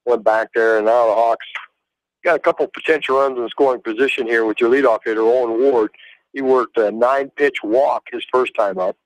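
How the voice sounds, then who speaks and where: American male color analyst for baseball radio, mid-pitched, casual and folksy, close dry sound. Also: fairly even intonation, fairly quick, dry recording, rough recording. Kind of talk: casual live game analysis. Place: live at a baseball game.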